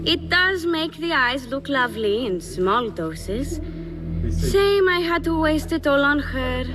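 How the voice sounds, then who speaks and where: An actress playing a sly, scheming young woman in a video game, with a taunting, self-assured, unhurried manner. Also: sits high, sing-song, full character voice. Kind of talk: dramatic video game acting. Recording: music behind the voice. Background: game music.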